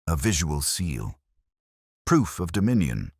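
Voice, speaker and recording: A man's voice: very deep voice, very animated, takes it slowly, husky, no echo, clean studio sound, full character voice. A man playing an unassuming, patient police inspector in a mystery story, polite and understated. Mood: thoughtful.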